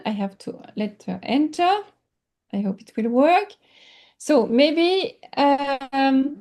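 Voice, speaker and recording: A woman with a French accent lecturing in English over a video call, pausing often and searching for words with many fillers. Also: on the high side, warm tone. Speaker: workshop lecturer. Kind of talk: online teaching session.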